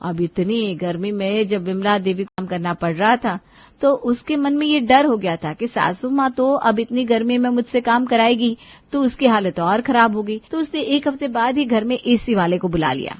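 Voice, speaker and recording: A woman's voice: on the high side, moderate pace, no room sound, lo-fi sound. A woman narrator telling a scripted cartoon family story.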